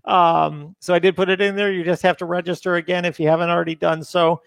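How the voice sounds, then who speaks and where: A middle-aged man with a gravelly voice co-hosting a live webinar, talking hesitantly with many ums and uhs. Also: sits high, flat delivery, quick pace. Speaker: webinar co-host.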